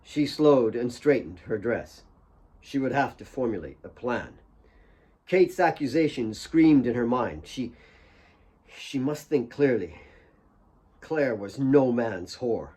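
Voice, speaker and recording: A man's voice: mid-range voice, lively intonation, takes it slowly, pauses often, warm tone. A man reading his own fiction as a dramatic audiobook narrator.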